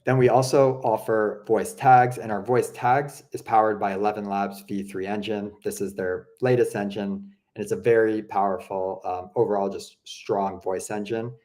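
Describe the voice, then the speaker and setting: A young man casually walking viewers through a software product, chatty and relaxed, with lots of "you know" and "um". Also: on the low side, normal speed, hardly any pauses. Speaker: product presenter. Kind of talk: casual explanatory walkthrough.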